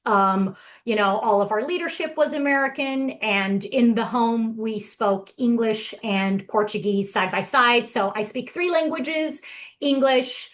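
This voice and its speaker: A woman's voice: on the high side, measured pace. A woman from Brazil giving a casual, chatty presentation as a guest speaker, talking with enthusiasm.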